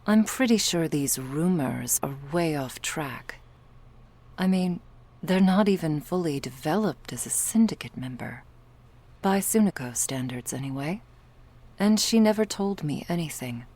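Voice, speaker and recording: A woman's voice: sits low, animated, measured pace, mellow. A woman playing a stern, protective female vampire bodyguard in an ASMR roleplay, cool and clipped, often close to a whisper. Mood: stern, dismissive.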